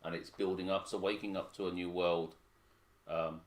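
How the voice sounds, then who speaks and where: A man from Hong Kong with a very deep voice, chatting and joking as he co-hosts his son's piano livestream and reads out viewer comments. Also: level tone, moderate pace. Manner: chatty, joking, self-mocking. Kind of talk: casual chat reading viewer comments.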